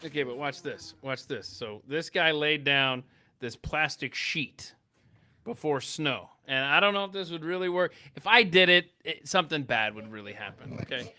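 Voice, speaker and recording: A man's voice: on the high side, animated, normal speed, pushed and bright. A man co-hosting a show with casual, conversational banter. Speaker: show co-host.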